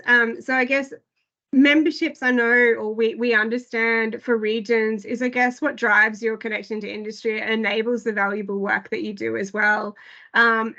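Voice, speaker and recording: A woman giving an online product briefing, slightly hoarse and full of hesitant fillers. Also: on the high side, bright, forceful tone, lo-fi sound. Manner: filler-heavy, frequent "I guess" and "um". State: losing her voice. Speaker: product presenter.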